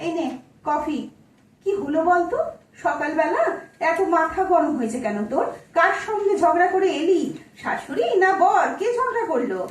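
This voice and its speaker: A woman acting a fussing, sharp-tongued mother of a married daughter in an audio drama, exasperated and nosy. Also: extremely high pitch, lively intonation, normal speed. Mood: nagging, inquisitive. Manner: exasperated, inquisitive, sardonic. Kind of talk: Bengali audio-play acting on stage. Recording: echoey room, distant mic.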